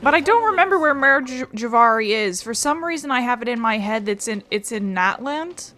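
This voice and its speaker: A woman hosting a let's play, thinking aloud in an offhand, sweary way. Her voice is bright and fairly high, with game music clearly behind it. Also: expressive, brisk. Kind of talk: casual gameplay commentary.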